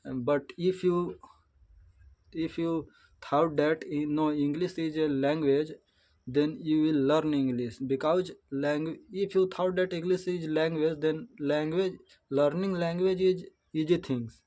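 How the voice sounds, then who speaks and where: A young Indian man with a fairly high voice speaks in unhurried, hesitant learner's English. He often stops and starts over, correcting himself as he goes, and keeps a plain conversational tone. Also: steady tone, mellow. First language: Hindi. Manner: halting, slow, frequent restarts and self-corrections. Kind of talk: unscripted practice monologue.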